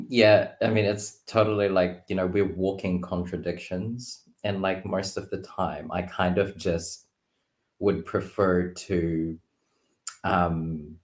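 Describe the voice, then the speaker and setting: A man in his thirties with a low voice talks casually, feeling his way through sentences with lots of little hedging words. His voice carries a clear room echo. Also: takes it slowly, a little mellow. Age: 30s. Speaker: guest card reader. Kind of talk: casual chat. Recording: roomy echo.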